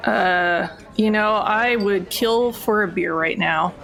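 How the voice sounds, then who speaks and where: A woman's voice: mid-range voice, takes it slowly, vocal fry, fairly bright tone, poor audio. A woman playing a sarcastic, defiant burglar in a tabletop game, with a dry, sardonic delivery. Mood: wry. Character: sarcastic, foul-mouthed burglar, defiant and wry.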